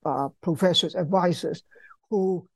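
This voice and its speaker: An older man with a Hong Kong accent, a professor speaking conversationally in an interview, halting and repeating words. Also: high-pitched, creaky, no room sound. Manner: halting, repeats words, non-native English phrasing. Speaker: history professor, author being interviewed.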